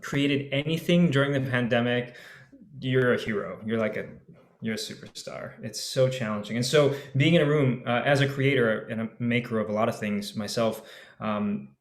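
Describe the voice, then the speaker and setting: A man's voice: mid-range voice, moderate pace, reverberant. A man, an actor, speaking effusively on a video-call panel, restarting his sentences often. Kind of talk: casual reflective chat.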